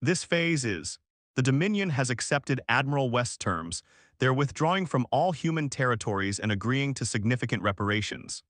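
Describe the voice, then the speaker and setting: A man reading as an assured female space fleet commander in a science fiction story, measured and confident. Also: mid-range voice, expressive, fairly bright tone, dry recording, studio quality, lightly acted.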